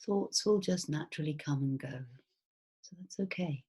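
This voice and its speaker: Female meditation guide, low and breathy, soft and soothing, very unhurried delivery with long pauses. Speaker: mindfulness teacher. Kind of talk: guided body scan meditation.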